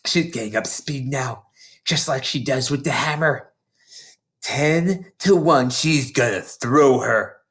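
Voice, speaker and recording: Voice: mid-range voice, slow pace, fairly bright tone. A reader voicing a knowing, scornful schoolgirl who gossips in a conspiratorial way in a children's storybook. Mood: knowing, gossipy.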